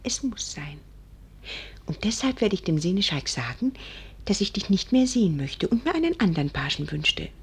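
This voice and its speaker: An actress playing a coquettish, tender young countess in a 1940s German radio play, cooing and often whispering. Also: female voice, mid-range voice, very animated, normal speed, heavily acted. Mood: urgent, secretive. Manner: breathy, whispering, cooing endearments.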